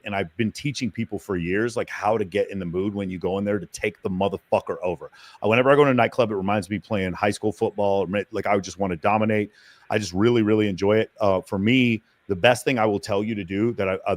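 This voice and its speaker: A man's voice: on the low side, no echo. A man talking boastfully and with energy, swearing freely as a coach on a dating panel. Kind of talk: casual panel chat.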